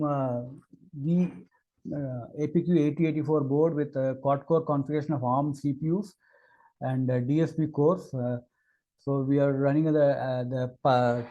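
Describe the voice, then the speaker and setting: Male engineer for demo narration: slightly high-set, mellow voice, unscripted and halting delivery. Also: dull audio. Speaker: engineer presenting a product demo.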